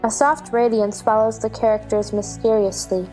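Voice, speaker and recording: Woman reading out stage directions, voice a bit high, little bit of music under it. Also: steady tone, normal speed, darkish tone, rough recording. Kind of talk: scripted narration.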